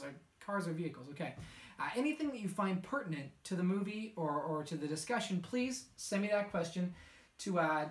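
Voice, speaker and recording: A young man presenting show announcements to camera as the host. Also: sits high. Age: young adult. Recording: echoey indoor room.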